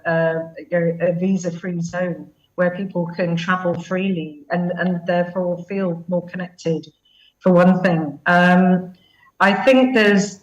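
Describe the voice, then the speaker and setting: A woman speaking as a guest commentator on a news panel, measured and thoughtful with many fillers. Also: on the low side. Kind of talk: panel interview answer. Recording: dull, echoey sound from a distant mic.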